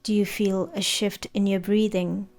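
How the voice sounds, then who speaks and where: A woman narrating a guided meditation in a gentle, soothing, unhurried manner. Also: medium pitch, flat delivery. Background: background music.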